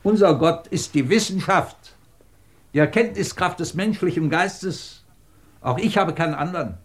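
A man's voice: fairly high pitch, measured pace, close to an everyday voice. A man acting a middle-aged, imperious and sardonic British professor who runs a research department, in a commanding 1950s German radio drama style. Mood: probing, intense. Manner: commanding, clipped, probing, sardonic.

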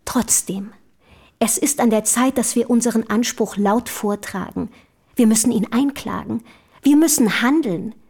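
An actress in a German historical radio drama playing an earnest, outspoken early feminist from the city, speaking formally and rhetorically. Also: female voice, fairly high pitch, unhurried, a little mellow. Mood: fervent, admiring.

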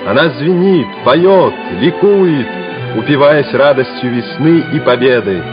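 A man narrating a Soviet war radio play in a measured, solemn, declamatory manner. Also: medium pitch, lively intonation, close to an everyday voice. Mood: exultant, proud. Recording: dull vintage radio play recording, loud music. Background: music.

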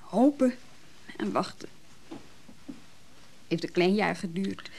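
Creaky-voiced, hurt, nervy woman. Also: mid-range voice, takes it slowly, a little mellow, slight background noise. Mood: bitter, brooding. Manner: hesitant, broken phrases, brooding. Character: hurt, nervy woman, disillusioned former mistress. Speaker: actor in a radio play. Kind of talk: naturalistic Dutch radio drama dialogue.

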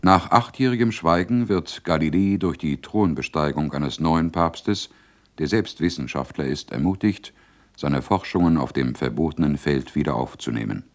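A male narrator reads scripted scene introductions for a radio play. His voice is extremely low in pitch, in the role of an announcer. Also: normal speed.

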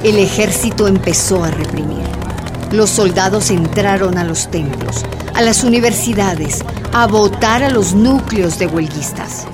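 A woman's voice: medium pitch, a little slow, lightly acted. A woman narrating a Spanish-language radio drama as its storyteller. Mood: solemn, urgent. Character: woman narrator recounting a miners' hunger strike. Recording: music and crowd behind the voice.

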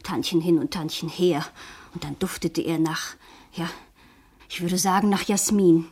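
Plain-spoken young witness, photographer, breathy-rough voice, matter-of-fact, vintage radio play. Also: female voice, on the low side, fairly even intonation, measured pace, darkish tone. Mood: dismissive. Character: forthright young woman photographer giving a witness statement. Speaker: radio drama actor. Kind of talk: 1960s German radio crime play acting.

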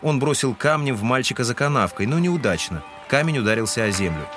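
A man's voice: mid-range voice, animated, brisk. A man giving scripted literary narration in an audio drama. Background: music.

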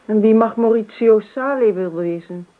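An actress in a Dutch radio play voicing a dreamy, unruffled wife with an airy, gently teasing, evasive manner. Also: female voice, medium pitch, moderate pace, close to an everyday voice. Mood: puzzled, evasive. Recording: dull, lo-fi radio play recording.

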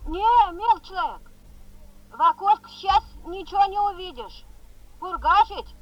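A woman's voice: very high voice, animated, a little slow. An actress playing a homely, helpful older countrywoman with folksy speech in a 1970s Soviet radio drama. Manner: folksy rustic turns of speech. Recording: dull muffled old radio recording.

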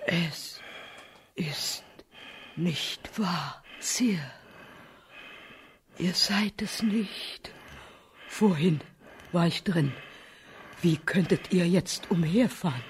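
Eine Schauspielerin spielt in einem Hörspiel eine besorgte, ergebene Königin, die eindringlich und besänftigend spricht. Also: female voice, on the low side, drawn-out words, pauses often, slight background noise. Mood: distressed. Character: anxious, devoted queen, wife of dying king. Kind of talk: radio play acting.

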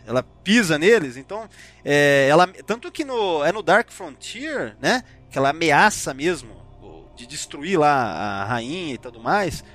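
A man's voice: on the high side, fairly bright tone. A Brazilian man hosting a casual panel discussion, rambling and slangy as he often interrupts himself. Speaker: podcast host.

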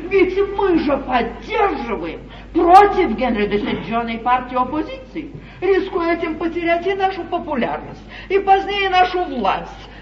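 An old, distant theatre recording with a dull, echoing sound. a domineering, shrewd duchess in a stage comedy. Her delivery is imperious and emphatic, with very mobile pitch. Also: a little hiss or hum. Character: domineering, shrewd duchess at an English royal court.

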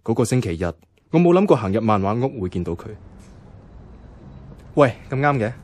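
Low-key young office worker narrating. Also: male voice, very animated, brisk, darkish tone, dry recording, clean studio sound. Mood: friendly, casual. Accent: Hong Kong Cantonese. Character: bemused, easygoing young male office worker narrating his story. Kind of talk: radio drama narration and dialogue. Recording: music behind the voice.

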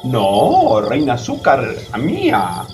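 Magic mirror, low-pitched, slow, swooping intonation, solemn, fairytale radio play. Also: female voice, huge pitch swings, exaggerated voice. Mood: solemn, flattering. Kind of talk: theatrical radio drama acting. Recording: music behind.

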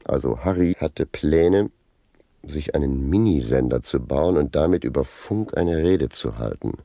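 An actor playing a pedantic senior narcotics officer in a satirical radio drama, lecturing in formal, bureaucratic language. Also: male voice, extremely low pitch, expressive, a little slow, warm tone, no echo, clean studio sound, heavily acted. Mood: officious, didactic. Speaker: interviewed police official.

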